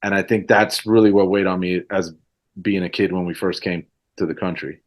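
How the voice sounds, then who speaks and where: A man's voice: sits low, steady tone, moderate pace. A man in his forties from Mexico, Spanish his first language, chatting casually as a guest and rambling with many fillers. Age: 40s. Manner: rambling, lots of fillers like "you know". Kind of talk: casual conversation.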